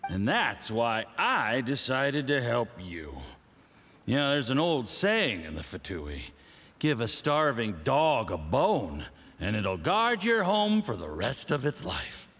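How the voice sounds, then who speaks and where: An actor playing a middle-aged, condescending scheming diplomat in a game, with a patronizing drawl. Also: male voice, medium pitch, big pitch swings, takes it slowly, a bit bright, exaggerated voice. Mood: smug. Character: smug scheming foreign diplomat man, condescending. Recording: game audio with long reverb.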